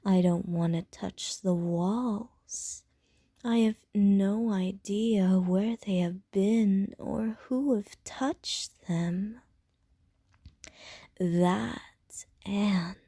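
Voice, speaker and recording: A woman voicing a flirtatious, hungry female vampire in an ASMR audio roleplay, whispering softly and teasingly. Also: medium pitch, vocal fry, husky, no echo, studio quality, exaggerated voice.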